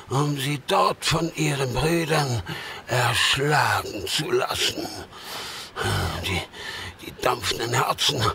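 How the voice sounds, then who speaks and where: An actor playing an old Norse warrior in an audio drama, solemn and halting, awed by old legends. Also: male voice, on the high side, gravelly, sharp tone, a little hiss or hum, exaggerated voice. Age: older.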